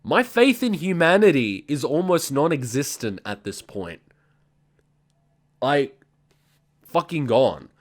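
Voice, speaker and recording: A man's voice: a little high, very animated, slow, pauses often. Brief: male, twenties, hosts a reaction video with a blunt, profane ranting delivery. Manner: ranting, blunt, heavy swearing. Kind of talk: casual reaction commentary.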